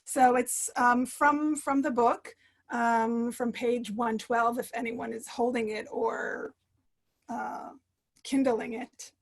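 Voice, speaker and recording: High-voiced woman author on a video call. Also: steady tone. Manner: halting, many fillers and restarts. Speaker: author guest. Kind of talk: book club talk and reading aloud.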